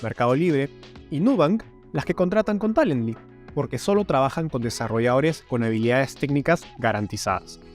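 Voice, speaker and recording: A man's voice: medium pitch, a little mellow. A man hosting an interview podcast, presenting and asking questions. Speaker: podcast host. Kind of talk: scripted narration and podcast interviewing.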